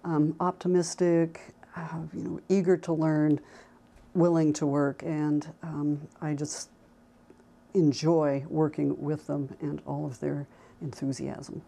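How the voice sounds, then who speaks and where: A woman with a low voice and a noticeable fry at the edges. She talks informally as a college instructor would, thinking as she goes and pausing often. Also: flat delivery, mellow. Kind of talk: informal talk to camera about teaching.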